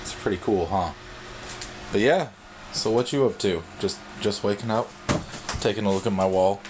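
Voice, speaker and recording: A man's voice: lowish voice, moderate pace. A man from the American South chatting casually and thinking aloud as he vlogs in his kitchen. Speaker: vlogger and host. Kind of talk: casual morning vlog chat while doing kitchen tasks. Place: home kitchen, indoors. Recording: noisy kitchen with loud appliance hum. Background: running dryer, clattering dishes.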